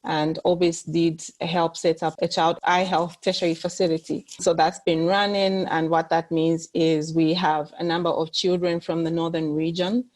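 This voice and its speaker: Low-voiced woman guest. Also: little pitch movement, normal speed, hardly any pauses, darkish tone. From Zambia. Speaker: interview guest, country director. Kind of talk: conversational interview answers. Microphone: video call.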